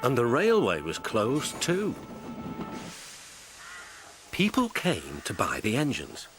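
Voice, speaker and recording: A man's voice: medium pitch, wildly swooping pitch, slow pace. A man narrating a scripted children's story as a storyteller. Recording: music behind the voice.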